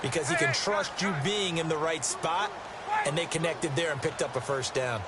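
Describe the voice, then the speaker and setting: A man's voice: a little high, normal speed, natural voice. A man playing a confident American football colour analyst in a video game, explaining in a conversational, instructive way with an American accent. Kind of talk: sports video game commentary. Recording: loud stadium and game noise behind. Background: loud game ambience.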